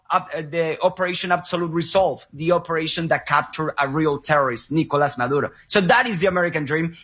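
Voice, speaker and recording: A man's voice: on the high side, a bit bright, breathy edge. A young man from Venezuela, a Spanish speaker, earnestly telling his story as an interview guest. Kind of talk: earnest personal account in interview.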